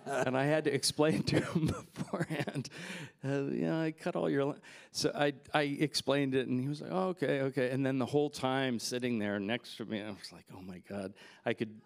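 A man's voice: medium pitch, normal speed, creaky. A man in his forties, a filmmaker guest at a festival Q&A, telling rambling anecdotes into a handheld mic. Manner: relaxed, rambling anecdotes with fillers and laughs. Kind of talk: festival Q&A storytelling. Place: festival stage in front of a backdrop.

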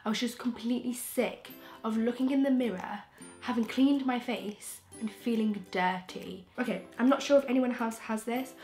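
A young woman from England, croaky from a throat infection, chatting frankly and rambling with restarts as a beauty vlogger in her bedroom. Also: on the high side, normal speed, a little mellow, soft background music. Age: young adult. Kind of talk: casual chatty vlog. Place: bedroom, indoors. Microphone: camera in front, close framing.

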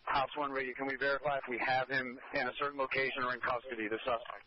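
A man's voice: mid-range voice, little pitch movement, fast. A man handling police radio traffic in a terse, procedural way over a scanner. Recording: dull police scanner, busy voices behind.

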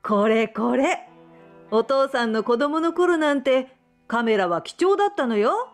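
Woman acting an unhurried, lively-pitched middle-aged mother. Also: soft background music. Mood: fond, explaining. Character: amused middle-aged mother of a grown man. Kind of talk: radio drama acting in Japanese.

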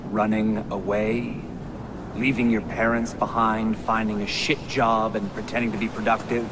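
Blunt unsettling guy man acting, kinda low voice, slow deliberate questions, game cutscene, noisy. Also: little pitch movement, lightly acted. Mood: probing. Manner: deliberate, probing. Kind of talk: video game drama dialogue.

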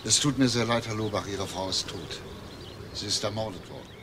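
An actor playing a composed, grave male police detective in a crime drama, speaking in a measured, understated way. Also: fairly low pitch, natural voice. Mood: grave, careful. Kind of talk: screen crime drama dialogue. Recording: distant mic, noisy background.

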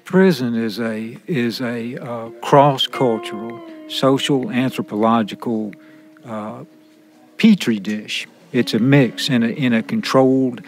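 Deliberate man with a creaky voice. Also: mid-range voice. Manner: deliberate, listing in repeated short statements. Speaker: prison writing student. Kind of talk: reflective interview answer. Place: room inside a prison. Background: soft music.

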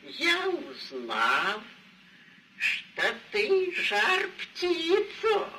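An actor playing a pompous, hot-tempered old tsar in rhymed cartoon verse, theatrical and exclamatory. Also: male voice, very high-pitched, distant mic, full character voice. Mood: sly, insistent. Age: older. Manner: theatrical, exclamatory, swinging delivery. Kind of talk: vintage cartoon verse acting. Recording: noisy old cartoon film soundtrack.

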